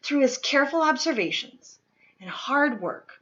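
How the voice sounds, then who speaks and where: Bright, higher-pitched woman reading aloud. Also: expressive, moderate pace, muffled recording. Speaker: read-aloud reader. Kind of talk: scripted children's nonfiction picture book read-aloud.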